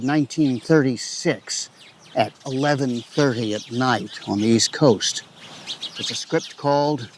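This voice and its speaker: Casting: male horror-show host, mid-pitched, theatrical with dramatic pauses, recorded outdoors. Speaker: host introducing an audio drama. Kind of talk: theatrical spoken introduction. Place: outdoors in a cemetery. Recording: faint outdoor noise, birdsong. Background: birdsong.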